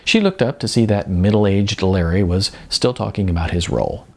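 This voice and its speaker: A man narrating a story in an expressive storytelling style. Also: on the low side, moderate pace.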